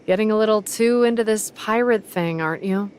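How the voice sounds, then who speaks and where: A woman's voice: mid-range voice, brisk, darkish tone, a little hiss or hum, close to an everyday voice. A woman voicing a composed, wry young pirate in an anime game, with a dry manner.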